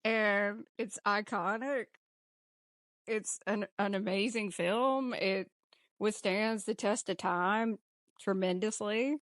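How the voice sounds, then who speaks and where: A woman's voice: medium pitch, slow pace, dry recording, studio quality. A woman hosting a casual podcast chat with co-hosts in a playful, teasing manner. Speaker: podcast host. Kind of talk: casual banter among co-hosts.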